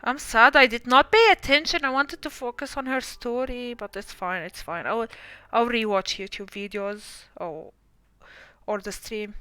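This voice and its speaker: A woman's voice: fairly high pitch, moderate pace, bright, forceful tone, clear, resonant tone, no echo, faint music behind. A young woman streamer chatting casually and rambling, with self-deprecating asides, while playing a game. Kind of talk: casual live-stream commentary.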